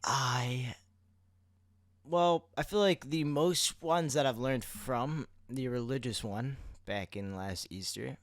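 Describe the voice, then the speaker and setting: A man's voice: medium pitch, takes it slowly, dry recording, studio quality. A man co-hosting a podcast in casual conversation, explaining things in an informal, rambling way. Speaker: podcast co-host.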